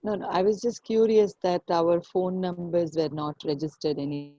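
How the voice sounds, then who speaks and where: Dark-voiced middle-aged Indian woman, playful. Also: lowish voice, fairly even intonation, normal speed, no room sound, muffled recording. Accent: Indian English. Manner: playful, chatty, laughs often. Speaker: workshop participant. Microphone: video call.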